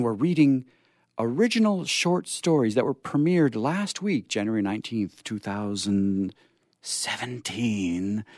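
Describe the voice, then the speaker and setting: Theatrical, effusive male radio host, animated pitch. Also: dry recording, clean studio sound. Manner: theatrical, effusive, repeats words. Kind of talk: unscripted on-air chat.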